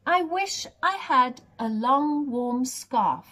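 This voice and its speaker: A woman voicing a cold, sad giraffe in a children's story, speaking in a plaintive, drawn-out way. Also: high-pitched, lively intonation, a bit bright, exaggerated voice. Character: high-voiced female giraffe, cold and sad.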